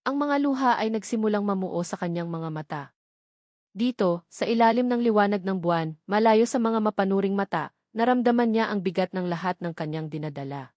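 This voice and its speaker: A woman narrates a scripted romance audiobook in a dramatic storytelling style. Her voice sits at a medium pitch. The recording is dry, with very little room around it. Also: animated, a little fast, a little mellow, no echo.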